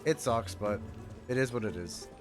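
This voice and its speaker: A man's voice: mid-range voice, animated, normal speed. A man livestreaming a game, reacting casually and reading text aloud in informal, self-interrupting chatter. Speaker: game streamer. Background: game music.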